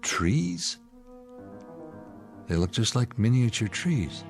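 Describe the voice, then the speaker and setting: A man's voice: medium pitch, big pitch swings, creaky, soft background music, full character voice. An actor playing a skeptical spaceship crewman in a radio drama, a man speaking with incredulous wonder. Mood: amazed, puzzled. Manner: deliberate, incredulous. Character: skeptical male spaceship crewman turning awestruck.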